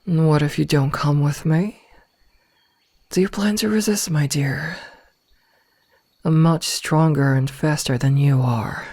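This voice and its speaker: A woman performs a female werewolf omega for an ASMR fantasy roleplay. She speaks at a slow, deliberate pace in formal phrases, commanding and possessive with a cool threat underneath. The sound is dry, with no room around her. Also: low-pitched, mellow, dry recording, full character voice. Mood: possessive, threatening. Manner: slow, deliberate, formal, with breathy whispered asides.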